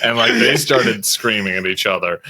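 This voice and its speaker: A man's voice: fairly low pitch, normal speed, bright, forceful tone, rough recording. A man co-hosting a casual panel chat, giving his opinions in an emphatic, argumentative way. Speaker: podcast co-host.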